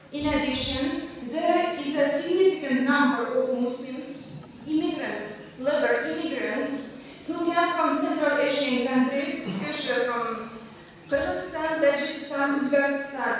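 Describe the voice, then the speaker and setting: A woman from Russia giving an academic conference lecture in careful English, pausing and correcting herself as she goes. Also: high-pitched, a little hiss or hum. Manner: careful non-native English with pauses and self-corrections. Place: large conference hall. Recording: echoey hall recording from far off.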